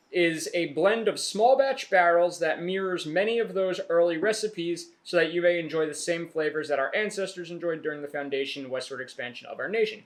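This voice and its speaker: A man hosting a whiskey review show, chatting casually and trailing off into restarts. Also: fairly high pitch. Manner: rambling, trails off and restarts mid-sentence; reads facts aloud haltingly. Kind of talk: casual tasting chat.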